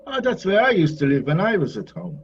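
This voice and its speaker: A man's voice: fairly high pitch, sing-song, fairly quick. An actor playing a whimsical, courtly male painter in an audio play, moody yet carefree, with a theatrical, lyrical way of talking. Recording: dull, slightly noisy recording.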